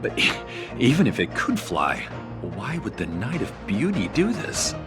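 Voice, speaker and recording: An actor voicing a skeptical adult man in a game cutscene, who explains legends in an animated way. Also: on the low side, unhurried. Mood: explaining. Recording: game audio with clear music behind.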